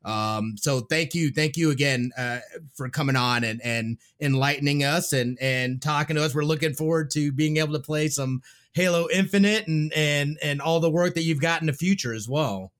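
A man's voice: fairly high pitch, a bit bright. Appreciative male podcast host. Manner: appreciative, halting with many fillers. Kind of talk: casual podcast interview.

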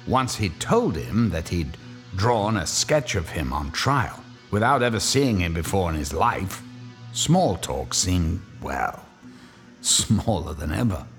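A man narrating a radio drama in a measured, wry storytelling manner. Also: sits low, sing-song, a bit bright, faint music behind.

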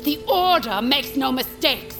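A woman playing a menacing vulture interrogator in an audio drama, speaking deliberately and theatrically with cold threat. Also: high voice, lively intonation, music in the background. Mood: stern, scornful. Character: menacing vulture lord, interrogator and assassin hunter.

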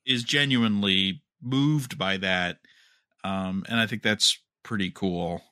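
A man with a fairly low but bright, forward voice co-hosts a casual podcast. He talks in a halting, rambling way, repeating words as he gathers his thought. The sound is dry, with very little room. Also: clear, resonant tone, no echo. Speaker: podcast co-host. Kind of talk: casual chat about TV and fandom.

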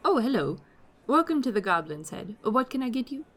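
A woman's voice: a little high, very animated, a little fast, noisy background. A woman acting as a welcoming, gossipy fantasy innkeeper in an audio drama trailer, conversational, wry and confiding.

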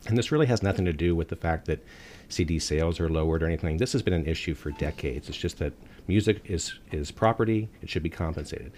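Very deep-voiced man, firm and matter-of-fact. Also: fast, a little mellow, a little hiss or hum. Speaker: guest on a music industry show. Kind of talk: arguing a case in a radio discussion.

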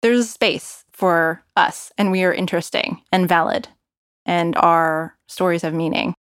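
A woman's voice: fairly low pitch, measured pace, a bit bright, dry recording, studio quality. A woman with an American accent telling personal stories in a conversational, candid and wryly self-deprecating way. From San Francisco Bay Area, California. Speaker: personal storyteller. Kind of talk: conversational personal narrative commentary. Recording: music bed under the voice. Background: music.

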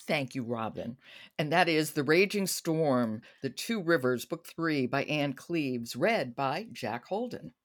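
A woman's voice: low-pitched, lively intonation, unhurried. Woman hosting a book podcast. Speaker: podcast host. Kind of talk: conversational hosting.

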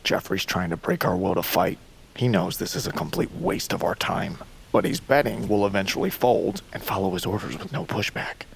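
An actor playing a subdued, battered but loyal captive man in an audio drama, quiet and measured. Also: low-pitched, animated, vocal fry, slightly rough, full character voice. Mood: grim resignation. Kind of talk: scripted audio drama acting.

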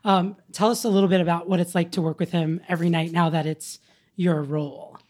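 Raspy-voiced woman hosting an interview. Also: on the low side, steady tone, a little fast, darkish tone. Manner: gushing, enthusiastic interviewer. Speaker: podcast host. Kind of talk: casual interview chat.

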